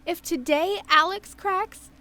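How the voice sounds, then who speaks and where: A woman's voice: extremely high pitch, takes it slowly, sharp tone, exaggerated voice. A woman playing a spacey young worker in a comedy audio drama, pondering things with naive, philosophical earnestness.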